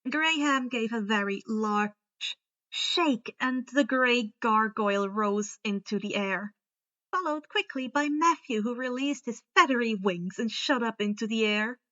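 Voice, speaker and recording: A woman narrating a story aloud in an expressive amateur storytelling style, on a slightly muffled recording. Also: a little high, a little slow. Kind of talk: expressive reading of fan fiction aloud.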